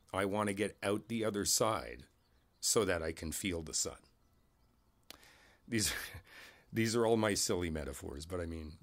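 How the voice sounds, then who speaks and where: A man playing a kindly, world-weary older neighbor in an ASMR roleplay, talking in a halting, reflective, rambling way. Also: sits low, a bit bright, exaggerated voice. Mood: understanding, gentle. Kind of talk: ASMR roleplay, intimate conversational monologue.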